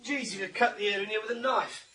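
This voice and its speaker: A man's voice: high-pitched, fast, crowd noise, natural voice. An actor playing a grumbling, sardonic British policeman in a radio thriller, wry and full of slang. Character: grumbling, sardonic male police colleague of the inspector.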